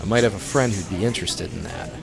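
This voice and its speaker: An actor playing a young, shrewd, suave speakeasy proprietor with period slang in a 1920s radio drama. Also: male voice, sits low, fast, creaky, loud music behind the voice. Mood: shrewd, businesslike. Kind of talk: 1920s old-time radio drama acting. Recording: busy bar crowd behind.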